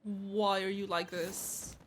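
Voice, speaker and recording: A woman's voice: mid-range voice, little pitch movement, slow, slight background noise. A young woman reacting live to a game as a streamer, casual, slangy and blunt, often in muttered asides. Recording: faint game music behind.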